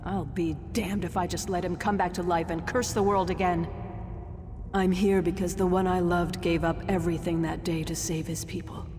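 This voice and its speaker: A woman playing a solemn, resolute ghost from the ancient past in a video game, grave and deliberate, with a ghostly reverb. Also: lowish voice, flat delivery, background noise. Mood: grave, mournful. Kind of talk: video game character acting. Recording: long reverb, music behind.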